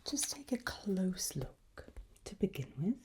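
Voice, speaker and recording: A woman doing an ASMR roleplay as an examiner, whispering in a breathy, husky voice with a gentle, reassuring, encouraging manner. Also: mid-range voice, sing-song, measured pace.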